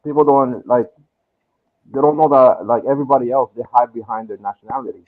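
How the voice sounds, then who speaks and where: A man talking as a guest in a casual discussion, relaxed and thinking aloud with lots of fillers. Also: medium pitch, a little fast. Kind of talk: casual chat. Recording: tinny phone-like line.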